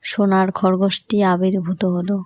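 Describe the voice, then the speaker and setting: A woman's voice: medium pitch, little pitch movement, normal speed, darkish tone. Woman, narrator, scripted children's fairy tale, mid-range voice.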